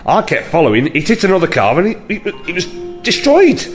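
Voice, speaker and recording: A man playing a brave, self-deprecating policeman in an audio drama, talking in a casual, halting way. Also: sits high, wildly swooping pitch, faint music behind. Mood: rattled.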